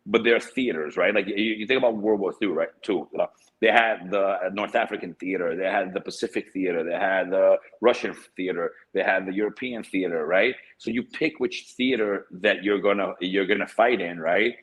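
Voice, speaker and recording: A man's voice: deep voice, normal speed, a bit bright, slightly rough. A man talking as a guest in a casual chat over a video call, rambling and asking "right?" again and again. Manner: rambling, asks "right?" often.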